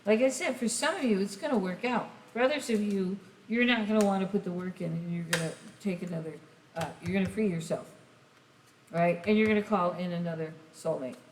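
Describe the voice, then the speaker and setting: A woman giving a casual tarot reading, rambling and speaking straight to the listener, her voice going hoarse. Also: medium pitch, fairly quick. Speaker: tarot reader. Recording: echoey room, faint hiss.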